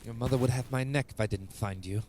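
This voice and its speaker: An actor playing a patient, dryly teasing guardian with formal old-world diction in an audio drama. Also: male voice, mid-range voice, fast, darkish tone, slight background noise, close to an everyday voice.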